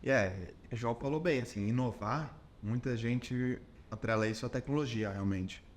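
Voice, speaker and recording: A man's voice: medium pitch, moderate pace, slight background noise. A Brazilian man hosting a podcast interview who lays out his views and checks in with his guest as he goes. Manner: explanatory, ends points with "entendeu?". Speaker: podcast host.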